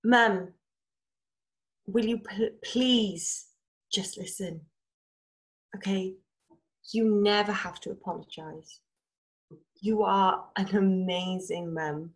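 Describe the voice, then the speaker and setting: An actress plays a seriously ill daughter in a drama. She is slow and halting, earnest and pleading, trying hard to stay practical. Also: medium pitch, steady tone. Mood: pleading, tender. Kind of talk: stage drama scene acting.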